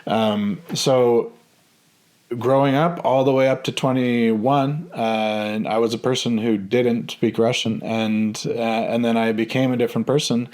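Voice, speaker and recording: A man in his thirties telling a personal story in a relaxed, hesitant way, full of ums and restarts. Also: mid-range voice, a little slow. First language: English. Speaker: vlogger.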